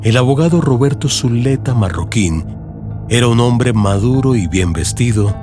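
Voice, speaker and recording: A man with a Colombian accent narrating a literary radio drama audiobook in a measured storytelling delivery, with music behind him. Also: medium pitch, expressive. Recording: music bed under narration.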